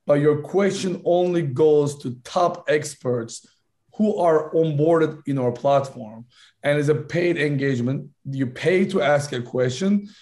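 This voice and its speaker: A man from Turkey, a founder making a fluent business pitch in a podcast interview, correcting himself on the fly. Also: measured pace, darkish tone. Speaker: startup founder guest.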